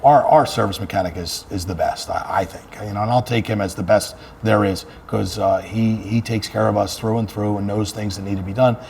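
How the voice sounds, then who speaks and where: A man with a low voice talks casually as an interview guest. He is earnest and conversational, often repeating a phrase to press it home. There is clear ambient noise behind him. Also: steady tone, fairly quick, hardly any pauses, a little mellow. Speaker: fire chief, interview guest. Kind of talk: casual interview talk about work.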